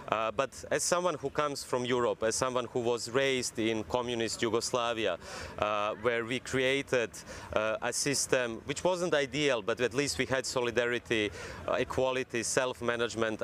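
A man's voice: medium pitch, a bit bright, a little hiss or hum. A man in his thirties from Croatia with a Croatian accent, earnestly arguing his points in a live news interview from outdoors. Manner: earnest, argumentative, lists examples. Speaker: guest commentator. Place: outdoors on a city street.

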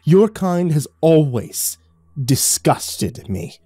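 A man voicing a cruel, mocking fallen angel who taunts with a smug, soft tone that can snap into screeching. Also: medium pitch, sing-song, normal speed, dry recording. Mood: contemptuous. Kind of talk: audio drama storytelling.

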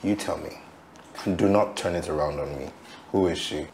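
A man's voice: rumbling low, normal speed, gravelly, darkish tone. An actor playing a jealous, accusing lover and aggrieved father in a screen drama, questioning in a confrontational way. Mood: suspicious, cold. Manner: confrontational, interrogating. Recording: roomy echo, faint background noise.

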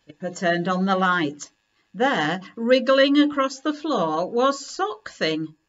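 Slow, animated woman storyteller. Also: a little high, lo-fi sound. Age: middle-aged. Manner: deliberate, expressive. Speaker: story reader. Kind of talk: children's picture-book reading. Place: living room at home, indoors.

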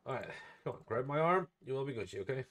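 A man chatting casually and swearing freely as he streams a role-play game as a medic, in an animated, exasperated way. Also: mid-range voice, rapid-fire. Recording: background noise and game sounds.

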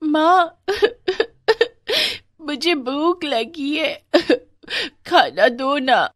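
A woman voicing a poor little village girl who pleads in a drawn-out, tearful way in an animated story. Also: sits high, no room sound, pitched above the performer's own voice, exaggerated voice. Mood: crying.

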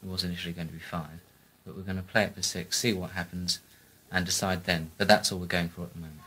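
Quick, low-voiced man in interview. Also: level tone, pushed and bright, poor audio. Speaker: audio drama producer. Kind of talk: casual interview. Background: faint music.

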